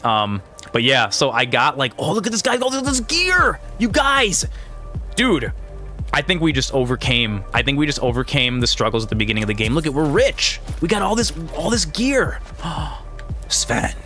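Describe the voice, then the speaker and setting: Bright-voiced man in his thirties, chatty gamer. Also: fairly high pitch, huge pitch swings, normal speed, soft background music. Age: 30s. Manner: rambling, slangy, often says "dude". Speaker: gaming video creator and streamer. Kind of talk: casual chatty gameplay commentary.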